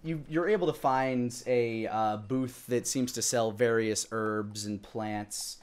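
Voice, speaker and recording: A man in his thirties improvising narration as a dungeon master, pausing to think as he goes. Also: medium pitch, clear, resonant tone. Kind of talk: improvised tabletop game narration.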